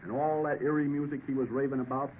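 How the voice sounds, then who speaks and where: An actor playing a skeptical sailor who talks in a dry, scoffing drawl. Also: male voice, medium pitch, fairly even intonation, fast talker, lightly acted. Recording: tinny old radio broadcast with hiss.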